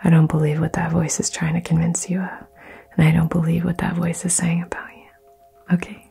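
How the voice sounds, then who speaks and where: A woman whispering soothing affirmations in an ASMR session, soft and gentle. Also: on the low side, monotone, normal speed, gravelly, slightly rough, soft background music. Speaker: ASMR host.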